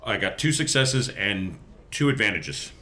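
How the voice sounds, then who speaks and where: A man with a fairly low but bright, forceful voice talks casually as a tabletop game player. There is faint noise behind him. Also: big pitch swings, unhurried.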